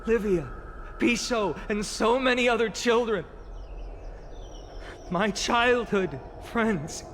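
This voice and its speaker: A man's voice: high-pitched, a bit bright, slight background noise. An actor voicing an earnest young male hero who gives a solemn, impassioned speech to a city's people in a game cutscene. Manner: solemn, impassioned public address.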